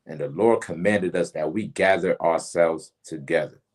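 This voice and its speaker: A man's voice: low voice, moderate pace. A man leading a religious class, preaching in casual slangy talk and often tacking on "man". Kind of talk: street preaching lesson. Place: outdoors during a storm.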